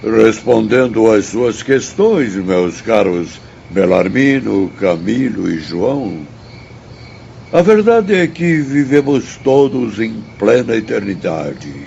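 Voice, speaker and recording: An actor with a Brazilian accent playing a learned, courteous spirit teacher in a radio drama, speaking in a formal, measured, didactic way. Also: male voice, lowish voice, sing-song, creaky, dark tone, exaggerated voice. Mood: solemn, didactic. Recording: dull audio, faint noise, night crickets.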